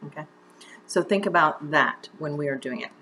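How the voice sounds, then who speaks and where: A woman with a notably low voice leads a video lesson as a drama teacher. She talks conversationally and encouragingly, guiding the learner with direct questions. There is faint ambient noise in the home recording. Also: moderate pace, sharp tone, low-quality recording. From United States. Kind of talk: instructional video mini-lesson.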